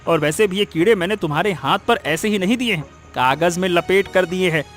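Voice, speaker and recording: A man's voice: high-pitched, a little fast, fairly bright tone, faint music behind. A man playing an irritable, scheming cartoon husband who scolds with impatient sarcasm. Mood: irritated. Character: irritable scheming husband bickering with his wife. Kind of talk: Hindi moral-story cartoon dubbing.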